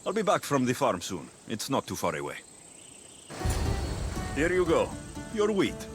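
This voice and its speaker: An actor playing a tired young Greek warrior and father, gentle and wry, with a Greek accent and a dry, understated delivery in a video game cutscene. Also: medium pitch, huge pitch swings, measured pace, soft background music. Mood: wry, friendly.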